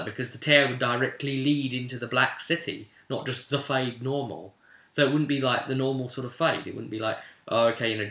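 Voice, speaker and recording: Sharp, bright-voiced man in an echoey room. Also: medium pitch. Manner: rambling, emphatic, conversational storyteller. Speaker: game master recapping his tabletop campaign. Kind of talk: informal retelling of a role-playing session, voicing characters' dialogue. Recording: roomy, echoing sound.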